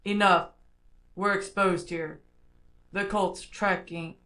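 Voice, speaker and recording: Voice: fairly even intonation, slow pace, bright, forceful tone, dry recording. An actor voicing a grim fugitive character in terse, dramatic game-style warnings, processed with cyborg-style voice effects. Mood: grim, urgent. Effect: pitch and robotic character voice effects being demonstrated. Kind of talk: short scripted dramatic character lines, played as a looping vocal sample.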